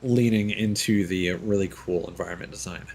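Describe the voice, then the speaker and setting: A man's voice: sits low, fairly even intonation, normal speed, darkish tone, a little hiss or hum. Rambling male game streamer. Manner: rambling and offhand, with frequent fillers and casual swearing. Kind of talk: casual gameplay commentary. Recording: game music playing behind the voice. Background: game soundtrack guitar music.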